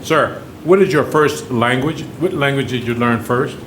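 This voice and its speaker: A man presiding as judge at a bond hearing, speaking firmly and repeating his questions until he gets a clear answer. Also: medium pitch, moderate pace, slight background noise.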